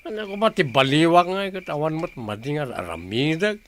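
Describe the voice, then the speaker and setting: A man's voice: fairly high pitch, animated, fairly quick, a little hiss or hum, natural voice. A man playing a stubborn, hard-drinking village husband in a radio drama, dismissive and defensive. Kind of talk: Ilocano comedy radio play acting.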